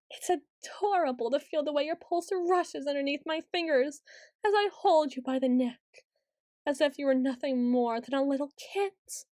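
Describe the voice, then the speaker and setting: A woman's voice: high voice, dry recording, clean studio sound. A woman voicing a haughty, centuries-old tsundere vampire noble in an ASMR roleplay, with theatrical, formal and condescending phrasing. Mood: teasing, suspicious.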